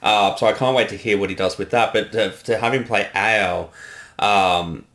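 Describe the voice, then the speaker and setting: A man's voice: fairly low pitch, steady tone, normal speed, a bit bright. A man chatting casually as a director giving commentary, with an enthusiastic manner.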